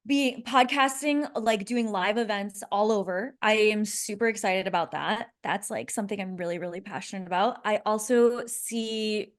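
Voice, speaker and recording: A woman's voice: medium pitch, normal speed, few pauses. A woman in her thirties co-hosting a podcast, talking in a bubbly, rambling way with frequent laughs and restarts. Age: 30s. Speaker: podcast co-host. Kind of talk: casual podcast chat. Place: San Diego.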